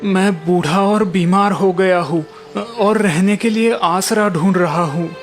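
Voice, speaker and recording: A man's voice: high voice, unhurried. Cartoon snake deity, old and sick, benevolent and grave, male actor, music behind. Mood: weary, benevolent. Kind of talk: animated moral tale dialogue.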